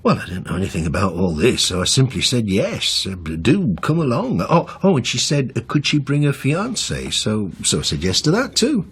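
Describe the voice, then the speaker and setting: Affable older dad, radio drama. Stumbling, gentle delivery, extremely animated male intonation at medium pitch. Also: normal speed, few pauses, breathy edge, heavily acted. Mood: mildly flustered. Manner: hesitant, mild, stumbling over explanations. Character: affable, faintly flustered older father receiving visitors. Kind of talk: naturalistic radio drama acting.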